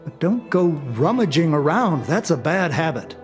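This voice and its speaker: A fussy, devoted male officer in a video game. His voice sits a bit high for a man, and he is theatrical and officious. There is soft music behind him. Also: big pitch swings, normal speed. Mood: admonishing. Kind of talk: video game voice acting, English dub.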